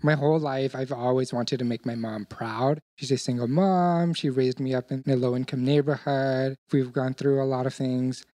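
A man's voice: medium pitch, fairly even intonation, moderate pace, hardly any pauses, dark tone, no echo. A young man whose first language is Vietnamese, speaking English as he recounts his family story openly and emotionally. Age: young adult. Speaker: interviewee. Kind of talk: personal testimony.

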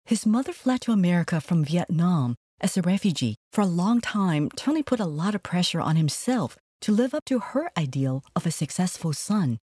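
A woman narrates in a measured, matter-of-fact documentary style. Her voice is lowish and mellow. The recording is dry and close. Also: big pitch swings, breathy edge, dry recording.

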